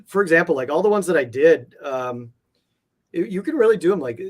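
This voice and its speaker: A man's voice: a little high, lively intonation, a little fast. A man chatting casually as an interview guest, with informal, loose phrasing. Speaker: interview guest, comic art collector. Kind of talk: casual chat.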